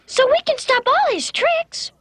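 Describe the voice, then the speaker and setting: A woman voicing an excitable young schoolgirl in a 1970s TV cartoon, exclaiming urgently. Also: very high-pitched, measured pace, noisy background. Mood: earnest, worried. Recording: loud music under the voice.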